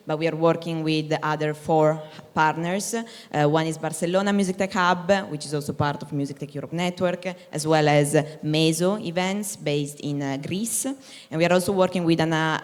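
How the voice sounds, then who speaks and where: A woman speaking on a conference panel, explaining a project at length with frequent fillers. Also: deep voice. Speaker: panelist, operations manager. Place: conference stage. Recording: hall reverb.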